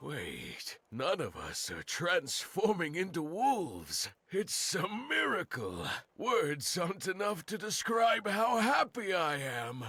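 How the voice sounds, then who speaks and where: A man's voice: sits high, wildly swooping pitch, slow pace, hardly any pauses, pushed and bright, breathy edge, heavily acted. A man playing an earnest, courteous leader of a werewolf pack in a video game cutscene. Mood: overjoyed, grateful.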